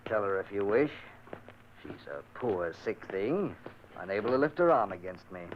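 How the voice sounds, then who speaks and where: An actor playing a brooding, controlling lord of a decaying manor, in vintage radio drama style. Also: male voice, lowish voice, expressive, normal speed, natural voice. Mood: menacing. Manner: dramatic, menacing, theatrical. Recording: muffled old radio recording with hiss.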